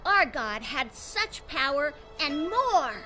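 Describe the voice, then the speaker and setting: A woman's voice: high-pitched, sing-song, slow, sharp tone, faint music behind, full character voice. A woman playing a blind hooded cultist priestess in a video game, ceremonious and grandly pronouncing. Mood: solemn, grand.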